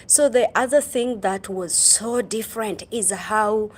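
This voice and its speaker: A middle-aged woman from Kenya talks to her livestream viewers in an unhurried, halting way. She stops often to fill gaps with ums and uhs, her voice sits at a medium pitch, and she stops to greet viewers by name. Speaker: livestream host. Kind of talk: casual livestream storytelling.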